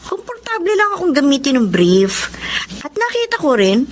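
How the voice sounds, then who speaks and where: A woman's voice: high-pitched, sing-song, moderate pace. An actress playing a frank, teasing young woman with a caring streak in a Tagalog radio drama, playful and direct.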